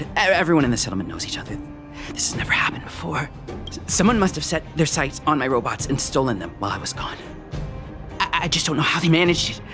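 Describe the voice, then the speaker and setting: An actor voicing a nervous, earnest amateur mechanic in a video game, hesitant and stammering. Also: male voice, medium pitch, close to an everyday voice.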